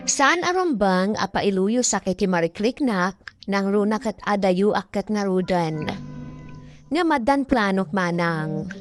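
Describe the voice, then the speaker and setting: Actress as a long-suffering Ilocano wife over music. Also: female voice, mid-range voice, animated, measured pace, close to an everyday voice. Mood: sad, resolute. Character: long-suffering young wife working abroad, enduring for her child. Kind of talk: Ilocano radio drama acting. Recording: radio drama with prominent music underneath.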